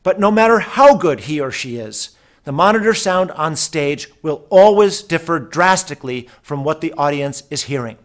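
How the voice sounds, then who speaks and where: Higher-pitched man narrating a corporate explainer. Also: lively intonation, moderate pace. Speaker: narrator of a product promo video.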